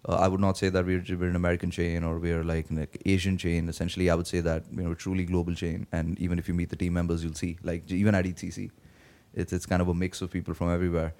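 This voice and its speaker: A man being interviewed as a guest, answering in a halting way with many fillers, his voice worn from days of talking. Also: low voice, little pitch movement, a little mellow. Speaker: guest, blockchain project team member. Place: conference venue.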